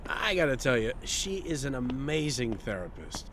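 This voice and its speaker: Animated, chatty man acting an upbeat guy. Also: mid-range voice, moderate pace, slight background noise. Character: chatty, upbeat, friendly male co-worker. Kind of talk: indie audio drama acting.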